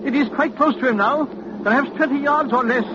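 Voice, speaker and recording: Tense doctor, very high-pitched. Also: male voice, brisk. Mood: tense, awed. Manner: formal, tense, dramatic. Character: frightened, dutiful doctor reporting a monster's approach. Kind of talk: 1940s radio drama acting. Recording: muffled, noisy old radio recording.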